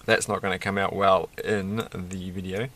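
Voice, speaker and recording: A middle-aged man with a croaky voice, chatting casually and haltingly through a hobby build, often searching for words. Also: low-pitched, level tone, fairly bright tone. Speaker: Lego build video creator. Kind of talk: casual hobby vlog, unscripted commentary while building. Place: indoors at a desk.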